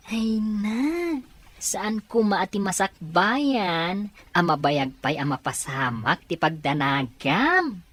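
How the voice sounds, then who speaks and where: A woman's voice: lowish voice, animated, slow, fairly bright tone, exaggerated voice. A woman voicing a casual male friend who banters and prods, in an audio drama. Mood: prodding.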